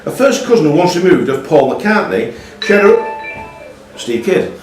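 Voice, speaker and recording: A man's voice: high voice, very animated, a little slow, music in the background. A man hosting a live quiz, reading questions aloud to contestants in a roomy pub space. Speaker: quiz master. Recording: roomy, echoey pub room, slightly distant mic.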